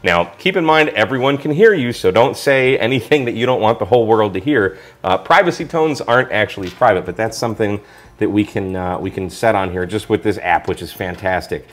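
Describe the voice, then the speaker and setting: Low-voiced man giving a casual product review. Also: expressive, fairly quick. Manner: informal, explanatory.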